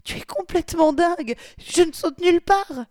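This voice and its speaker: A frightened young woman pleading in a high, strained voice that leaps up and down, as a reader puts her on. She sounds close to panic, recorded dry and close. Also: lively intonation, normal speed, dark tone, no echo. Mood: pleading, panicky.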